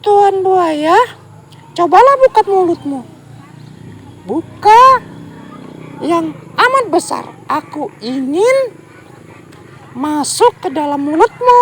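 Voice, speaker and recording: A woman's voice: very high voice, animated, slow pace, long pauses, slight background noise, full character voice, poor audio. A woman voicing a brave, kind little young grasshopper in a sweet, coaxing children's-story way. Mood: caring.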